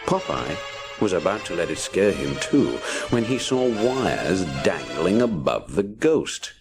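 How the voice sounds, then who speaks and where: A man's voice: deep voice, big pitch swings, measured pace, creaky, over music. A man narrating a children's adventure story dramatically, punching out the action words. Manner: punchy, theatrical sound-effect words.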